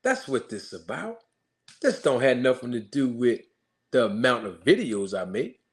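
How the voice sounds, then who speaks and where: A man's voice: medium pitch, sing-song, moderate pace. A male channel host giving an emphatic commentary rant, mocking critics with repeated rhetorical questions.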